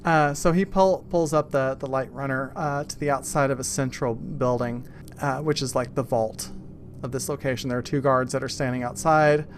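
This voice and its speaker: A man improvising narration as game master of a tabletop game, describing scenes in a casual, halting way. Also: mid-range voice, steady tone, a little hiss or hum. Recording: music playing behind voice. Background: clear background music.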